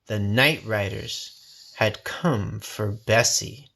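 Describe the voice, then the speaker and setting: A man reading a folksy first-person story aloud in a dramatic, expressive, suspenseful way. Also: on the low side, drawn-out words, gravelly. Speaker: storybook reader.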